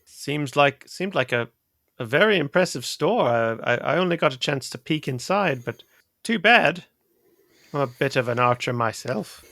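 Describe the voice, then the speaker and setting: Man playing a cheeky little male adventurer, stammering, gravelly, higher voice. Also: lively intonation, moderate pace, fairly bright tone, dry recording, studio quality.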